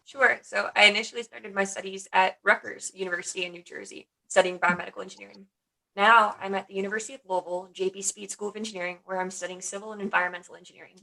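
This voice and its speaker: Upbeat young woman, gravelly bright voice. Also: medium pitch, steady tone, quick pace, poor audio. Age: young adult. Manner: enthusiastic, upbeat. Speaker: engineering student. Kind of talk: panel discussion.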